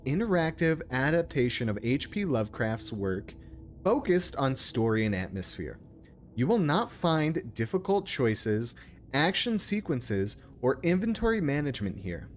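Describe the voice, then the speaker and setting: Man streaming with lively, swinging intonation. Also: fairly high pitch, a little slow, a little hiss or hum. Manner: informal, sweary, joking. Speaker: game streamer. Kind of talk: casual live playthrough commentary, reading text aloud.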